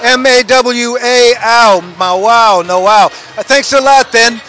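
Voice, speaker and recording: A man interviewing people at an outdoor festival, asking questions haltingly and switching between two languages. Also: very high-pitched, pushed and bright. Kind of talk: bilingual street interview.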